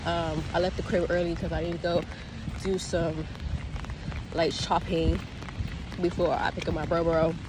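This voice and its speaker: A woman's voice: lowish voice, flat delivery, noisy background. A young woman vlogging on her phone outdoors, chatty and exasperated, sounding congested. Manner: chatty, exasperated, drawn-out complaints. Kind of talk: casual vlog to phone camera. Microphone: phone camera.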